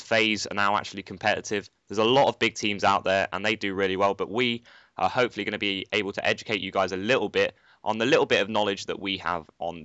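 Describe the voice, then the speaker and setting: A man's voice: lowish voice, sharp tone, clean tone, no room sound, highs cut off. A teenage boy hosting a casual gaming commentary, chatty and rambling with lots of filler phrases. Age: teens. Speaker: channel host, gamer.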